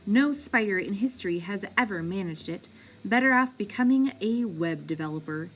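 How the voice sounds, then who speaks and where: A woman's voice: mid-range voice, animated, normal speed, fairly bright tone, rough recording. A woman voicing a worried, practical father spider in a storybook, fretful and cautioning. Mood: worried, discouraging.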